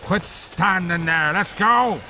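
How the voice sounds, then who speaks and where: A man's voice: sits high, slow pace, bright, forceful tone, slight background noise, full character voice. A man voicing an angry, bullying middle-aged village father in a manga dub, coarse and blustering.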